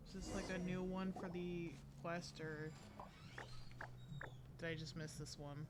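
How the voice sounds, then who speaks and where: A woman's voice: on the low side, fairly even intonation, measured pace, very noisy. A woman giving casual live commentary as she streams a video game. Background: loud game music.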